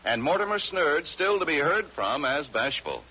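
A man's voice: a little high, sing-song, moderate pace. A man reading a formal scripted announcement as a 1940s radio announcer. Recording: dull old radio broadcast, music behind.

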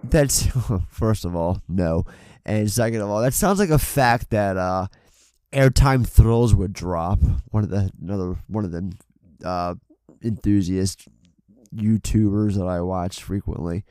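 Podcast co-host, man, low voice, stuffy nose, loose and rambly, dry close sound. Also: measured pace, mellow, clear, resonant tone, dry recording. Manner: rambling, swears casually, many fillers and restarts. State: congested from a head cold. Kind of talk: casual film podcast chat. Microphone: desk mic on an arm.

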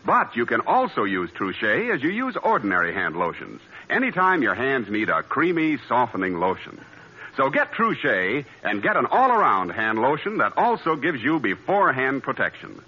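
Bright, swooping vintage radio announcer man. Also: medium pitch, huge pitch swings, moderate pace, breathy edge. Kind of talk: 1940s radio advertising announcing. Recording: dull vintage radio recording, faint music.

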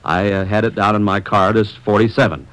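A man working as an old-time radio announcer, delivering a folksy, emphatic sales-style pitch. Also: little pitch movement, fairly quick, fairly bright tone, poor audio.

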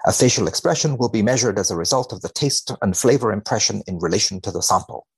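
A man speaking as a podcast guest in a measured, deadpan way with dry humour, both chatting and reading research aloud. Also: fairly low pitch, slightly rough, poor audio. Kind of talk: wry conversation and dramatic reading of a research paper.

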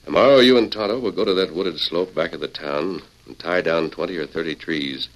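An actor playing a calm, authoritative western hero in a radio drama, measured and commanding as he instructs. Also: low-pitched, rough recording. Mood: calm, resolute. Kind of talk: old radio western acting.

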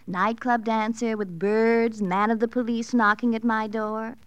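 Actress as a high-voiced, gushing society fiancée. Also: female voice, level tone, unhurried, a little hiss or hum, lightly acted. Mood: coy, gushing. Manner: breezy, theatrical, arch, gushing. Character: affected, gushing young society fiancée, playfully coy. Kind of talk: 1950s radio drama acting.

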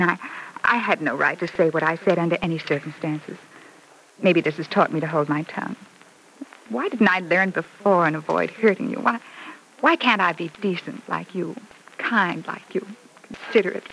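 An actress playing an emotional, sharp-tongued mother with a melodramatic, halting delivery in an old-time radio serial. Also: lowish voice, gravelly, poor audio. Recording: old radio broadcast, faint hiss.

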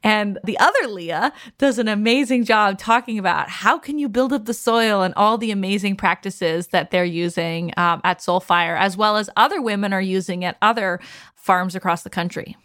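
A woman's voice: mid-range voice, normal speed, a bit bright, no echo, clean studio sound. A woman co-hosting a podcast in a casual, conversational style. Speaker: podcast co-host.

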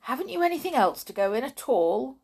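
A woman acts out a kindly male sailor doll from a children's storybook. He sounds earnest, anxious and coaxing, with a raised pitch that moves up and down a great deal. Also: big pitch swings, fairly quick, creaky, a little mellow, breathy edge, full character voice. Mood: puzzled.

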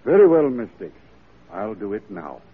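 A man's voice: lowish voice, very animated, normal speed, breathy edge. An actor playing a stuffy, exasperated male judge in a classic radio drama, formal and blustering. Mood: flustered, yielding. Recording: muffled old radio drama recording.

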